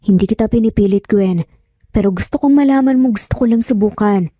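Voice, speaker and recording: A woman voicing a sincere, gently teasing young father in love in a narrated romance story. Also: mid-range voice, a little fast, no room sound, studio quality, natural voice. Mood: tender.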